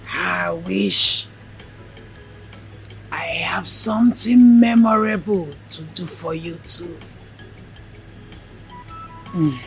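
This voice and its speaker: An actress playing a frail elderly grandmother who gives slow, solemn blessings. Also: fairly low pitch, gravelly, a bit bright, full character voice. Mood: sorrowful. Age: older.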